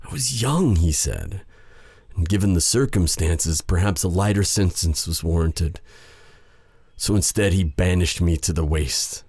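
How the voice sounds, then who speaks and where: A brooding dark sorcerer, acted by a man in an intimate fantasy audio drama. His voice is dark, husky and very close and dry. He speaks softly and haltingly, a bitter outcast who still has a tender side. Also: sits low, no room sound, heavily acted. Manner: halting, hesitant, sighing delivery. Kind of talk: intimate ASMR roleplay drama.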